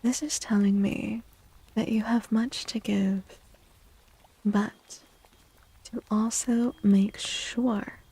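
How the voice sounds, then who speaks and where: A woman reading tarot in a soft-spoken ASMR style, gentle and deliberate, often close to a whisper, with pauses and repeated phrases. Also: medium pitch, gravelly, husky, rough recording. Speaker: streamer, tarot reader.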